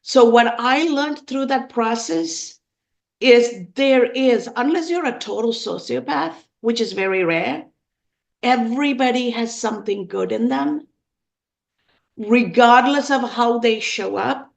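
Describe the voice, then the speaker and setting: A woman in her fifties from Sri Lanka, telling her personal story as an interview guest in an earnest, emphatic, deliberate way. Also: fairly high pitch, gravelly. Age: 50s. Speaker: podcast guest.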